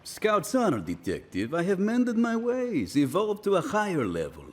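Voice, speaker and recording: Husky, suave, swinging-voiced gangster. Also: male voice, sits high, wildly swooping pitch, normal speed, full character voice. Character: smooth-talking, boastful shady restaurateur, reformed gangster.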